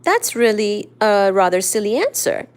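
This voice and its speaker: Woman reading as a blunt country doctor, calm, probing, storybook reading. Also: mid-range voice, normal speed, clear, resonant tone.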